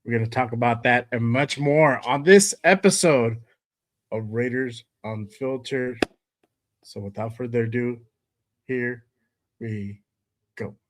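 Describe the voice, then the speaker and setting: A man with a cold, sounding hoarse, hosting a casual live stream from home, loose and slangy with lots of shout-outs to viewers. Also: mid-range voice, a little slow, long pauses, background noise. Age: adult with kids. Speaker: host.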